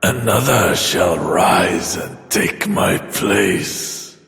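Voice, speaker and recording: An actor playing a grandiose demon overlord in a video game, pompous and menacing, with a gravelly voice and theatrical proclamations. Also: male voice, low-pitched, animated, extremely slow, fairly bright tone, heavily acted. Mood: ominous. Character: grandiose demon overlord villain, bringer of oblivion. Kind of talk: video game villain voice acting. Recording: cavernous hall reverb, music bed.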